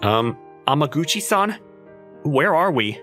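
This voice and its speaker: A man's voice: fairly high pitch, sing-song, measured pace, bright, forceful tone, faint music behind, full character voice. A young man playing a nervous, teasing student in love in a manga dub, talking in a conversational, slightly awkward way. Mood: puzzled. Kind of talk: manga dub acting with first-person narration.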